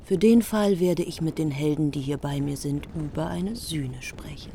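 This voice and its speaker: A woman's voice: low-pitched, normal speed, warm tone, a little hiss or hum. An actress playing a vengeful, bitter queen who gives orders coldly in a radio play. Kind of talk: radio drama acting.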